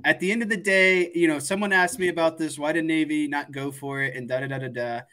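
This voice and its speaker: A man's voice: a little high, steady tone, fast, bright, forceful tone. A man giving casual sports commentary as a panelist, explaining his points conversationally and repeating words for emphasis.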